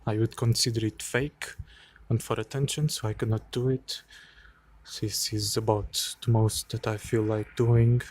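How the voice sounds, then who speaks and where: A man in an unedited late-night vlog, speaking quietly and hesitantly with many pauses and fillers, cold and shivering a little into a handheld phone outdoors. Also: lowish voice, little pitch movement. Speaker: vlogger. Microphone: phone held by hand.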